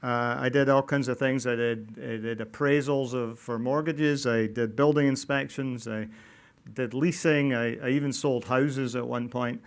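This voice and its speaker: A middle-aged man with a Scottish accent speaks to a live audience in a smooth, resonant voice. He stays fairly level in pitch and keeps stopping to restart his sentences with plenty of ums and uhs. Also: steady tone. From Scotland, Glasgow. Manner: halting, with many fillers and restarts. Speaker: non-profit housing developer. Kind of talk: public talk to an audience. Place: event venue before an audience.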